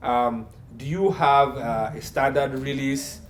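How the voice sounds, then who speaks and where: A man's voice: medium pitch, slow pace. A man explaining as an interview guest, emphatic, repeating phrases and posing rhetorical questions. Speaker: legal consultant, interview guest. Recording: echoey room with faint background noise.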